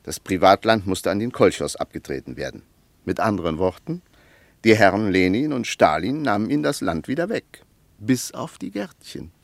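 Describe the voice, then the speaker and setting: A bitterly critical male intellectual in a radio play. His lowish voice swings widely as he lectures, emphatic and rhetorical, in a very dry studio. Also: very animated, normal speed, gravelly, no echo.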